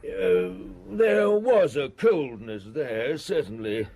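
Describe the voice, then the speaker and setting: An actor in a radio drama playing a polite, insistent, defensive gentleman from a respectable family, speaking formally and emphatically. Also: medium pitch, sing-song, slow pace, heavily acted. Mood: courteous.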